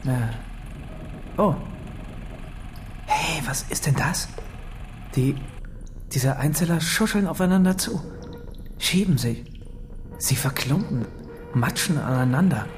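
An actor in a German radio drama playing a naive non-human pupil, hesitant, questioning and full of wonder. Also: male voice, fairly high pitch, lively intonation, slight background noise. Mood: curious. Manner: halting, questioning.

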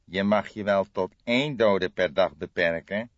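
A man's voice: sits low, a little slow, no room sound, lo-fi sound. A man voicing a small, cynical old coroner with a condescending manner in an audiobook.

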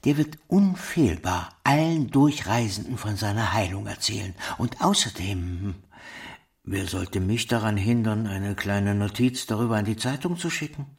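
An actor playing a self-important village pharmacist in a radio play, eagerly persuasive and declamatory. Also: male voice, fairly low pitch, moderate pace, creaky. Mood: eager, pompous. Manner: pompous, declamatory. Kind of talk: German radio play acting.

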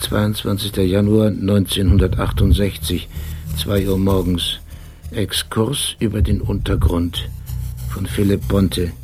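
An actor playing an obsessive, brooding police detective who narrates a radio play in a deliberate, precise, philosophical manner. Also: male voice, on the low side, darkish tone. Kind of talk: radio play acting and literary monologue. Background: music.

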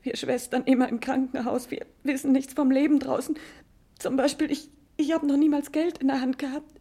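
An actress playing a frightened, naive nursing nun in a 1950s radio play, breathy, faltering and fretful. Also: female voice, high voice, fairly even intonation, a little fast, dark tone. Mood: distraught, fearful.

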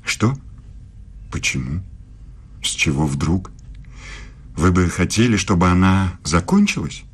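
Radio drama role: lofty male German professor and evasive lover, low register, deliberate, pontificating delivery. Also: expressive, breathy edge, heavily acted. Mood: guarded, questioning. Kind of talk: radio play acting.

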